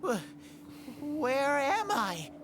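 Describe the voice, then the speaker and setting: A woman's voice: sits high, sing-song, extremely slow, background noise, exaggerated voice. A woman voicing a childlike, bewildered mascot character in an anime-style video game.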